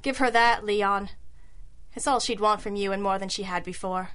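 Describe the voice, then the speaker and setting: A woman playing a sharp, wry private detective in an old-school radio drama, crisp and matter-of-fact, with compassion underneath. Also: mid-range voice, normal speed, close to an everyday voice. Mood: gentle, sincere.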